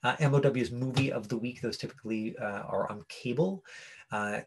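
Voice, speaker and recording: A man with a husky voice working as an acting coach, giving an informal online lesson in a halting, rambling way. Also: medium pitch, slight background noise. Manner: halting, rambling explanations full of tangents. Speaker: acting coach teaching a class.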